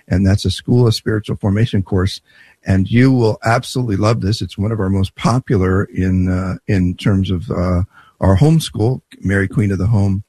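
A man presenting a conversational promotional spot in a measured, deliberate way, like someone telling a personal story. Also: lowish voice, a little mellow, husky, no echo. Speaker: presenter promoting a language course.

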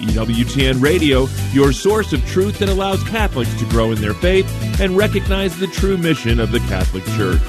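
A man's voice: medium pitch, sing-song, moderate pace, music in the background. A man reading an upbeat, polished radio promotion. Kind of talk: promotional voice-over.

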